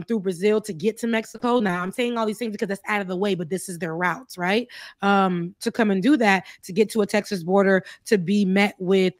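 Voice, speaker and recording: A woman's voice: mid-range voice, no room sound, clean studio sound. Earnest young woman on a video call. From South Florida. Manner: earnest, lots of fillers and restarts. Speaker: co-host. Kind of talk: casual political chat. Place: home room. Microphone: desk podcast mic on video call.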